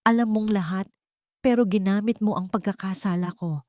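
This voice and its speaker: A woman's voice: medium pitch, normal speed, a little mellow, no room sound, voice pushed up, lightly acted. An intense, commanding billionaire man full of anguish, voiced by a woman storyteller in a romantic audio drama. The delivery is emphatic, and the sound is dry. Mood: furious, betrayed.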